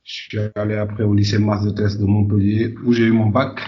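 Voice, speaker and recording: A man's voice: on the low side, flat delivery, fairly quick, muffled recording. A man from Senegal answering conversationally as an interview guest, often repeating words as he searches for phrasing. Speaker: podcast guest, entrepreneur.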